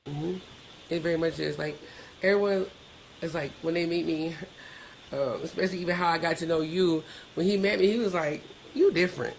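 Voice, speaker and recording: Creaky-voiced woman, frank, over background noise. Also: lowish voice, normal speed, poor audio. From Georgia, United States. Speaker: podcast interview guest. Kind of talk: candid conversational storytelling.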